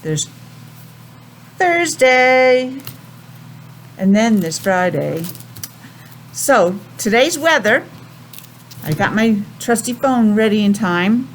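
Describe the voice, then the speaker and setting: An American woman teaching young children a simple lesson in a sing-song, questioning way with pauses. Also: a little high, sing-song, slight background noise. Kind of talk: children's calendar and weather lesson.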